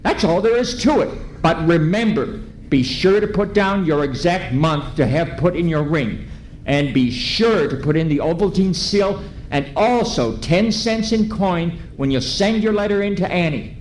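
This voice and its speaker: An actor playing an eager, friendly 1930s radio announcer pitching to children, with emphatic, coaxing, careful delivery. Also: male voice, lively intonation, measured pace. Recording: echoey live ballroom stage, faint noise.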